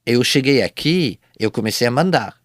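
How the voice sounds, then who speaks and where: A man speaking Portuguese as a second language, a guest telling his business story in a halting way, with many repeated false starts. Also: mid-range voice, animated, no echo, studio quality. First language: not Portuguese. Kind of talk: casual interview storytelling.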